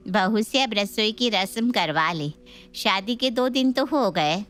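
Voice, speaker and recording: A woman's voice: mid-range voice, animated, slow, fairly bright tone, soft background music. A woman voicing a meddling, traditional middle-aged aunt in a family story, pointed and insistent.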